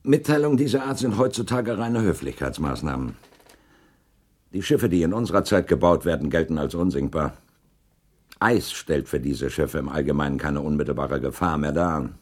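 A man's voice: extremely low pitch, expressive, moderate pace, darkish tone. An actor playing a stiff, middle-aged male ship captain, giving clipped, formal and defensive answers at a hearing in a radio drama. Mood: guarded. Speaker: witness. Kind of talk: 1980s radio drama acting.

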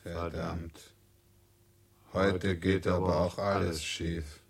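An actor playing a gruff, grumbling small-time troublemaker in a satirical radio drama. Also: male voice, deep voice, flat delivery, very slow, very noisy, full character voice. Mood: indignant. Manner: gruff, cursing.

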